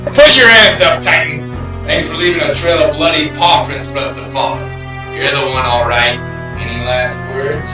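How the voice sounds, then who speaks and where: A man's voice: mid-range voice, level tone, moderate pace, close to an everyday voice. A man playing a tough SWAT team leader with a barking, commanding delivery in an amateur audio drama. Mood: commanding, smug. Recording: roomy, distant, music behind.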